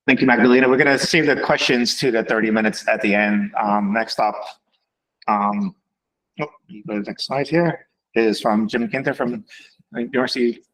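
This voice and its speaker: A man chairing a workshop session, briskly thanking and introducing speakers. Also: mid-range voice, a bit bright, low-quality recording.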